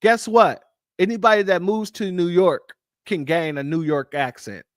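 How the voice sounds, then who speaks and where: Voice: medium pitch, moderate pace, dry recording, studio quality. A host reacting to a clip on a livestream, speaking in a heated, emphatic way. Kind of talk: livestream commentary.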